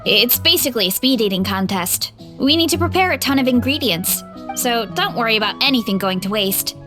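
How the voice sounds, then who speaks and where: A woman voicing a strong, cheerful young woman from a farming family, talking in a bright, friendly, big-hearted way over faint game music. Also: a little high, expressive, fairly quick. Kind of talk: game voice acting.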